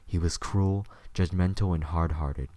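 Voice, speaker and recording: Deep-voiced male story narrator. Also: unhurried, mellow, dry recording, clean studio sound.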